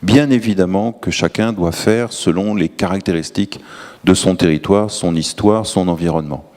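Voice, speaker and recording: Deep-voiced man, hesitant, echoing hall. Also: normal speed, a little mellow. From Tours, France. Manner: hesitant, many fillers and restarts. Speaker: energy syndicate official on a panel. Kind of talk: conference panel remarks. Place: large conference hall. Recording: long hall reverb.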